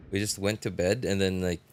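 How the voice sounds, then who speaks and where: A man doing casual gameplay commentary on a livestream, musing aloud and chatting informally to viewers. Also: sits low. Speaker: game livestreamer. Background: game music.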